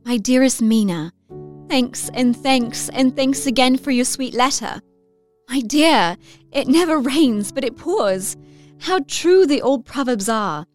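An actress playing an excitable, romantic Victorian girl of nineteen, reading her letter effusively in an audio drama. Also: sits high, slow pace, faint music behind. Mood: giddy delight. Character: excitable, romantic nineteen-year-old Victorian young lady.

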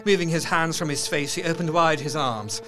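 A man's voice: fairly high pitch, normal speed, music in the background, close to an everyday voice. A man playing an earnest diarist recounting horrors in a gothic audio drama, with formal, intense, literary narration. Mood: horrified, intense.

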